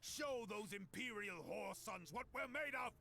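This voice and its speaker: A big, bold male general in a fantasy game battle. His rallying cries are pushed high, gravelly and sharp, swinging widely in pitch, with noisy ambience behind. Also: lively intonation, unhurried, exaggerated voice. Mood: fierce, rallying. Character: large bold warrior general rallying troops.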